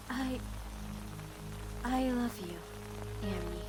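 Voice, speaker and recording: Woman acting teenage cartoon girl, high voice, nervous, heartfelt, lovestruck, music behind. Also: rough recording. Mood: nervous, loving. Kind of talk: animated-series comic dub acting.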